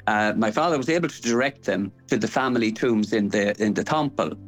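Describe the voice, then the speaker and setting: A man's voice: lowish voice, fairly quick, soft background music. An older man with an Irish accent explaining local history in a scholarly, detailed, digressive way. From Ireland.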